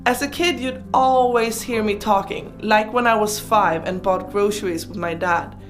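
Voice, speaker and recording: Girl in her 20s, german speaker, chatty casual life story voiceover, playful, music clearly playing behind. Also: medium pitch, normal speed. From Sweden. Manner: chatty, informal, playful asides. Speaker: video creator narrating her life. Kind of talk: casual personal storytelling voice-over.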